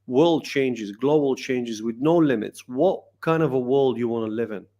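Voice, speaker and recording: A male host speaks politely and encouragingly at a middle pitch. He asks questions and thanks his guest, keeping the conversation moving. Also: normal speed, warm tone. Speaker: podcast host. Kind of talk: interview hosting.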